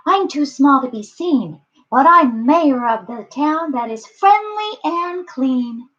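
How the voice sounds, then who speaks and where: Woman voicing a tiny, piping, high-pitched little mayor. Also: lively intonation, slow pace, a bit bright, lo-fi sound, heavily acted. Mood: proud, friendly. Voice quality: small, piping. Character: tiny, polite, grateful male mayor of speck-sized folk.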